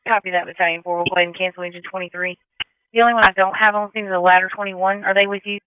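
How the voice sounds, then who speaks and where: A female dispatcher talks quickly and evenly over a thin, phone-like radio channel. She sounds crisp and businesslike as she relays units and confirms details in emergency traffic. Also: medium pitch, level tone. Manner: businesslike, reads back information. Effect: two-way radio transmission.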